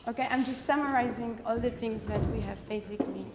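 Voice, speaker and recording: A woman from the audience, speaking English as a philosopher at a conference, direct and insistent, often pausing with fillers. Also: a little high, in a large hall, background noise. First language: Polish. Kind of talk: conference floor remarks. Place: conference hall.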